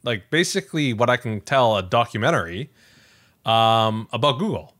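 A man's voice: mid-range voice, lively intonation, normal speed, a bit bright. A man hosting a podcast in a casual chat style, conversational and often hedging as he thinks aloud. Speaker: podcast host.

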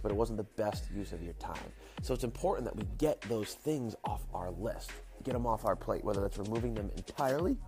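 A man hosting his daily show, talking casually and encouragingly, a bit stuffy and nasal from his sinuses, speaking slowly to enunciate. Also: fairly low pitch. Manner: slow, careful enunciation. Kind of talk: casual motivational monologue.

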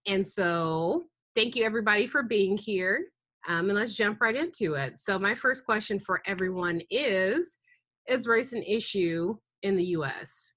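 A woman's voice: medium pitch, a bit bright. A woman, hosting a podcast and moderating a group discussion. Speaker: podcast host.

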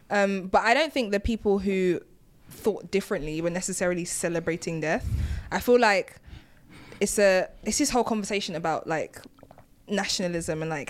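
A young British woman of Nigerian heritage co-hosting a casual podcast, asking teasing questions and trailing off quietly at the ends of her points. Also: lowish voice. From Britain and Nigeria. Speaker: podcast co-host. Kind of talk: casual chat.

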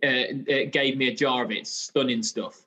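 Bright-voiced football guest. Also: male voice, mid-range voice, fairly even intonation, normal speed.

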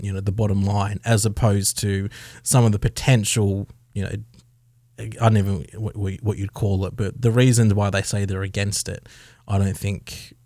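Low, dry-voiced Australian man hosting radio. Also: a little mellow, no echo. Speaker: radio show host. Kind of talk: conversational radio discussion. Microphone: close studio radio microphone.

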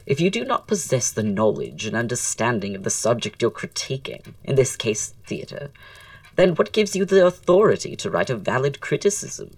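Casting: female actor as flamboyant Victorian gentleman playwright, witty and teasing, strikingly deep, with lively swooping intonation, for audio drama. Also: moderate pace, dry recording, distant mic, heavily acted. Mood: indignant, haughty. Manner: theatrical, drawling, arch, florid wording.

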